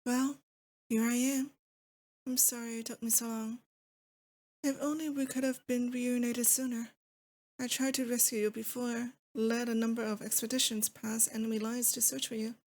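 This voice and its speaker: A woman's voice: fairly high pitch, a little mellow, close to an everyday voice. A woman acting as a lovesick, possessive demon lord in a romantic ASMR roleplay, intimate and doting with a theatrical menace.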